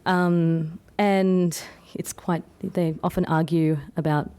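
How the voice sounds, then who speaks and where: Low-pitched Australian woman presenting. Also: little pitch movement, slow pace, mellow, clean tone. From Australia, born in England. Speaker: academic presenter, anthropologist. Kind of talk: conference paper presentation, informal.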